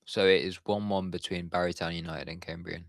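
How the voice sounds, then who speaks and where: A man's voice: low voice, fairly even intonation, brisk, vocal fry, no room sound, clean studio sound. A young man giving live football commentary on his stream, chatty and talking to his viewers in the chat. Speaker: watchalong streamer.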